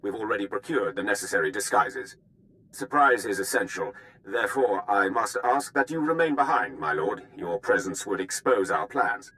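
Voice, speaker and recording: Military general for a game, lowish voice, formal measured briefing, respectful. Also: male voice, sing-song, bright, forceful tone, low-quality recording. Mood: formal, businesslike. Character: formal, dutiful male imperial general giving a briefing.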